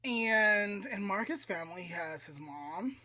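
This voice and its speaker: A man's voice: high voice, animated, measured pace, a crowd in the background. A man with an American accent co-hosting a podcast, casually telling a story in a conversational, approximate way. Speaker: podcast co-host.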